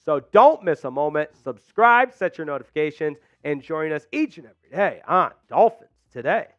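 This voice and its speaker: A man in his thirties hosting a live sports show on a headset mic, energetic and emphatic, slightly hoarse. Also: a little high, moderate pace, no echo, muffled recording. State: losing his voice. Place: small studio, indoors.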